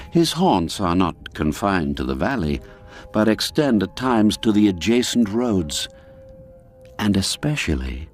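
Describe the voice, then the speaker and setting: Slow, low-voiced male storyteller with lively intonation. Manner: measured, formal storytelling delivery. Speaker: narrator. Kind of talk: scripted literary narration of a classic folk tale.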